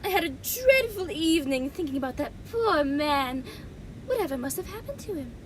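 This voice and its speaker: A woman's voice: sits high, very animated, moderate pace, a little hiss or hum, low-quality recording. A woman playing a refined, evasive society lady in old-time radio drama style, prim and theatrical. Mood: coy. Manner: prim, theatrical, precise diction.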